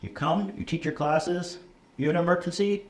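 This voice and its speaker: A man's voice: high voice, normal speed. An American man in his thirties, a computer science instructor, talking casually in an interview with many ums and uhs and frequent "okay?" check-ins. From USA. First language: English. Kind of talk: relaxed conversational interview. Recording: roomy echo, faint room noise.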